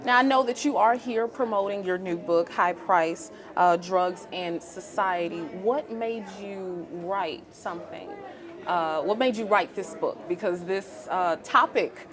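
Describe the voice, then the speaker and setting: A woman's voice: lowish voice, unhurried, a crowd in the background. A woman hosting a TV interview, encouraging, often repeating and rephrasing her questions. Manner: encouraging, repeats questions, frequent "really?" and "wow". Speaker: TV interview host. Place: book fair.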